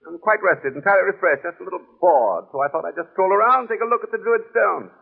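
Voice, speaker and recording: An actor playing a brisk, eccentric, self-assured gentleman detective in 1930s radio style, wry and theatrical, with a husky, gravelly voice. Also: sits high, animated, exaggerated voice. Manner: repeats phrases, theatrical, wry. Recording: muffled, phone-like 1930s radio recording.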